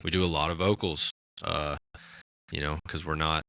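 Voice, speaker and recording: A raspy-voiced man in casual podcast chat, talking about his music with lots of fillers and restarts. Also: rumbling low, steady tone, normal speed, pushed and bright, no room sound, studio quality. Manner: rambling, many fillers and restarts. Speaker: musician guest.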